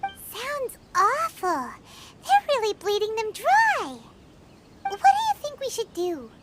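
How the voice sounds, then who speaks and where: A woman's voice: extremely high pitch, wildly swooping pitch, slow, heavy background noise, full character voice. A woman voicing a tiny, chirpy, inquisitive childlike fairy companion in a video game, with a perky manner. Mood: concerned. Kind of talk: video game dialogue acting.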